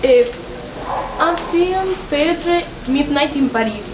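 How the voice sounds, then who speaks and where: High-voiced teenage girl in an echoey room. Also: animated, slow, crowd noise. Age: teens, 17. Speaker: student of French. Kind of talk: prepared personal testimony in French as a learner. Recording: muffled, echoey, noisy amateur recording.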